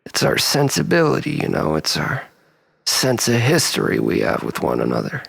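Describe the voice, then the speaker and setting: An actor playing a troubled, obsessive man pleading with his lost lover in a halting, rambling dramatic monologue, often dropping near a whisper. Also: medium pitch, wildly swooping pitch, vocal fry, husky, heavily acted. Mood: giddy, hopeful. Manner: halting, rambling, nervous self-corrections and sighs.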